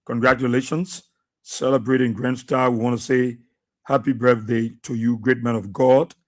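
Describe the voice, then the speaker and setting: A man's voice: level tone, moderate pace. A man with a Liberian English accent hosting a radio talk show. He repeats himself and exhorts listeners, with many fillers. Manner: low and subdued for birthday greetings, then loud and preachy.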